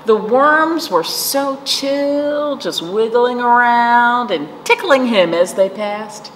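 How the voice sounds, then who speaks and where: A middle-aged woman reads a story aloud to young children with a very lively, swooping delivery. She takes her time and speaks to listeners directly. The room rings with reverb. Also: a little high. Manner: animated, acting out the story with asides to listeners. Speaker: children's librarian and storytime host. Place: indoor library storytime room. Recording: roomy reverb with faint room noise.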